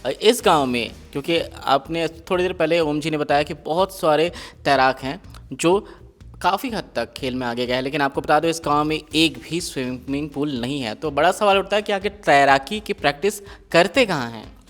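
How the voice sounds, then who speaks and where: A man's voice: fairly high pitch, normal speed, fairly bright tone. A man working as a reporter, interviewing people on location in a news style. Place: on location in a village.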